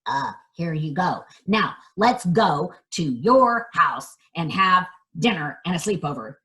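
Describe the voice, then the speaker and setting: A woman voicing a young frog prince who speaks in a low, polite, bargaining way. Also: animated, takes it slowly, a bit bright.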